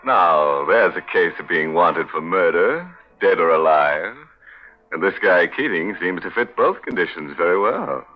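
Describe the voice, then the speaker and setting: Deep, wry vintage radio host, male. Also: animated, unhurried. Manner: wry, macabre joking. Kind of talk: 1940s radio host patter. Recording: dull vintage 1940s radio recording.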